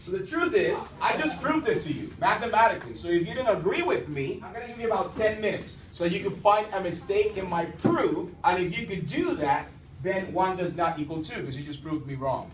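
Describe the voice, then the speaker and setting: A man teaching a class, playful and energetic, asking students questions. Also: high-pitched, fairly quick, distant mic, crowd noise. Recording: echoey classroom from afar.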